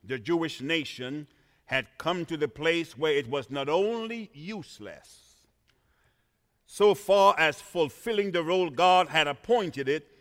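A man from Trinidad preaching a sermon in a church, swinging from quiet asides to shouted emphasis. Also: fairly high pitch, expressive, unhurried. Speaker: pastor. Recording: reverberant church hall.

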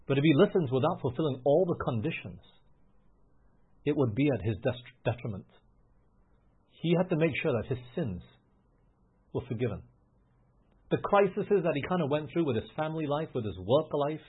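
Male preacher, earnest and emphatic, raspy breathy tone, lo-fi phone-like recording. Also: mid-range voice. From grew up in England, Australia and the US. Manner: earnest, rising to emphatic, frequent restarts. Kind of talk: sermon.

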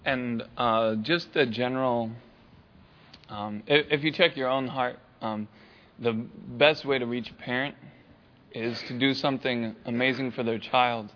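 A man speaking on a church panel in a halting, searching and heartfelt way. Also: mid-range voice, steady tone, muffled recording. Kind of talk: panel discussion remarks.

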